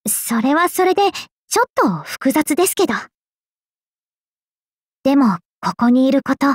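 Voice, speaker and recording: A woman's voice: high voice, no echo, clean studio sound. A woman voicing a sweet, clumsy young woman in an anime game, polite, earnest and a little flustered. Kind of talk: anime game character voice acting.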